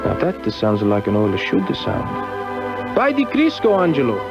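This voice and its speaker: A man's voice: on the low side, wildly swooping pitch, unhurried, loud music behind the voice, exaggerated voice. A man playing a nostalgic grandson theatrically retelling a childhood errand and voicing his grandma in a radio commercial. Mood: playful nostalgia. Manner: theatrical, exaggerated. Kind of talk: character storytelling radio commercial. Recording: muffled old radio broadcast tape.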